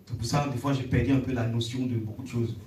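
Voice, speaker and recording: A man's voice: medium pitch, fairly even intonation, quick pace, a little mellow, a little hiss or hum. A man takes part in a church discussion, repeating himself and affirming what others say. His voice is picked up from a distance in a roomy, echoey hall. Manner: hesitant, repetitive, with affirming interjections.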